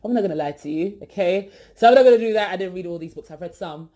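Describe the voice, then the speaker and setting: A young British woman, hosting her podcast and introducing herself in a chatty, animated way with many fillers and asides. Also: on the low side, fast. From Britain, born in East London, family from Ghana. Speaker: podcast host. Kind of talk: casual self-introduction.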